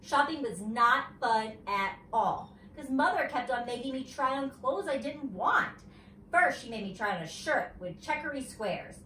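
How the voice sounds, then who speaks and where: A woman reads a children's story aloud with expression and chatty asides. Her voice is fairly high and animated. It is recorded from a distance in a roomy space with faint noise. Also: unhurried. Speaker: teacher reading aloud.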